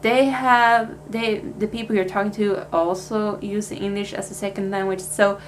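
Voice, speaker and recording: A woman's voice: medium pitch, flat delivery, moderate pace, slight background noise. A young Swedish woman with a Swedish accent, chatting casually in a life update vlog, with plenty of fillers and restarts. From Sweden. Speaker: vlogger.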